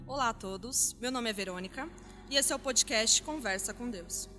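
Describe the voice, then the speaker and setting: A woman's voice: on the high side, moderate pace, over loud music. Friendly woman hosting a podcast. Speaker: podcast host. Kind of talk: casual church podcast hosting.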